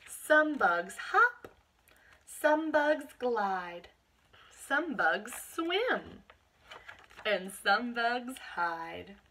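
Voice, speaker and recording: A woman's voice: fairly high pitch, very animated, drawn-out words, sharp tone. A woman, reading a picture book aloud to young children and speaking expressively to them like a teacher. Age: 20s. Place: small bedroom at home.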